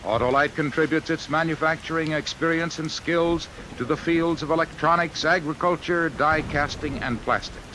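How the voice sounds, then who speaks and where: A man's voice: fairly high pitch, fairly even intonation, moderate pace, few pauses, bright, forceful tone, rough recording. An American man reading 1950s radio advertising as a polished, emphatic commercial announcer. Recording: hissy old radio recording.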